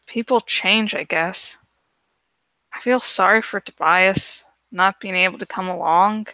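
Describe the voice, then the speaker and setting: Woman reading as a gentle, wistful teenage girl, unhurried. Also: medium pitch, no room sound, pitched below the performer's own voice.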